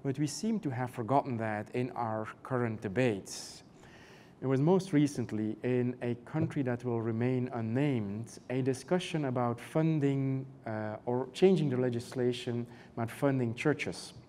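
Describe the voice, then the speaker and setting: A man with a European accent, a canon law professor speaking on a conference panel in a measured way, with many fillers and rhetorical questions. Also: mid-range voice, warm tone, a little hiss or hum.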